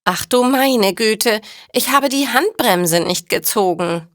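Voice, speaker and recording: A hapless man with an old car, voiced by a woman reader in a storybook. The delivery is exclaiming but very slow and clearly paced. The sound is dry and close. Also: a little high, lively intonation, dry recording, voice pushed up. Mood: alarmed.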